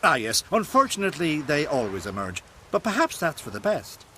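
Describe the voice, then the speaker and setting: A man's voice: fairly high pitch, huge pitch swings, bright, forceful tone, slight background noise, heavily acted. An actor playing an earnest, philosophical male druid in a fantasy video game, speaking in formal, old-fashioned phrases. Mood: reflective. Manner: formal, measured, archaic phrasing.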